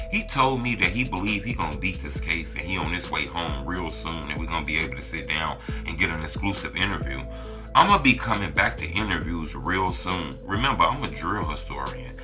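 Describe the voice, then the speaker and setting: An American man hosting a street-culture interview channel, speaking in casual, conversational slang. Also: low-pitched. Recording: music behind the voice.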